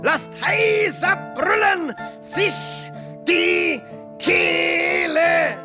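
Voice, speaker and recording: An actress playing a solemn ballad reciter, delivering theatrical verse in a radio drama. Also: female voice, very high voice, huge pitch swings, extremely slow, exaggerated voice. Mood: solemn, dramatic. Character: solemn female ballad singer-reciter praising an angel of the poor. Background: plucked guitar music.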